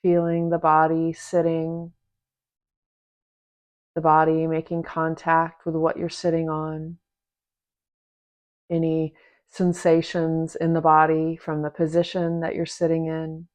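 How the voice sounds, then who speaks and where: A woman teaching a guided meditation in a gentle, unhurried manner, leaving long pauses between short phrases. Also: sits low, completely flat delivery. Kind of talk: guided meditation, soft instruction.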